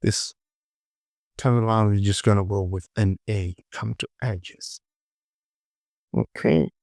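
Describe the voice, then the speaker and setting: A man giving an informal software tutorial, muttering short clipped instructions to himself and often repeating words. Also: fairly low pitch, steady tone, takes it slowly, creaky, dry recording, studio quality. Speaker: 3D modeling tutorial presenter.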